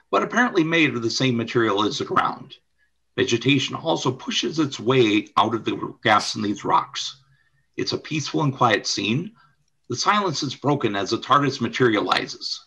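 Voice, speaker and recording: Male radio play narrator. Forceful, bright tone, expressive range, dramatic storytelling delivery. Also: mid-range voice, moderate pace, gravelly, slightly rough, low-quality recording. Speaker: narrator and announcer.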